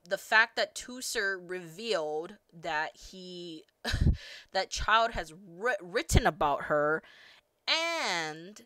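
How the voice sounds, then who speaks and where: A woman streamer rambling casually and excitedly, full of fillers and the odd swear word. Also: medium pitch, dry recording. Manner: gushing, animated, swearing casually, lots of fillers. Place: home streaming setup.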